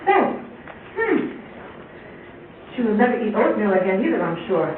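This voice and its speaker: A woman's voice: mid-range voice, very animated, moderate pace. A woman puppeteer telling a children's story informally, in a chatty, theatrical way. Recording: very echoey, tinny, distant, faint noise.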